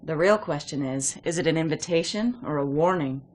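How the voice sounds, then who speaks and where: A woman's voice: low-pitched, fairly quick, slight background noise, lightly acted. A woman playing an alert, wary female AI companion in a video game cutscene. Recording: game audio under loud music.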